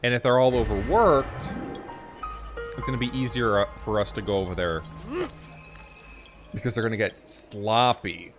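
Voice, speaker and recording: Low-pitched man rambling through game commentary. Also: normal speed, pauses often. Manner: rambling, thinking aloud, repetitive. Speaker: gaming streamer. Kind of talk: casual running commentary on a game. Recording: faint game music behind.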